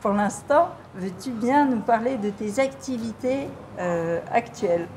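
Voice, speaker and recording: A woman hosting an interview in French, prompting her guest gently and laughing often. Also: fairly high pitch, measured pace, a little hiss or hum. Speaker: interviewer, calligraphy teacher. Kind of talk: friendly interview.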